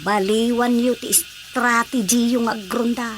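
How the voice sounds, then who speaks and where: A woman's voice: on the high side, fairly even intonation, unhurried, slight background noise, natural voice. A woman playing a worried, nagging villager in a comic radio drama, with a scolding, exclamatory manner.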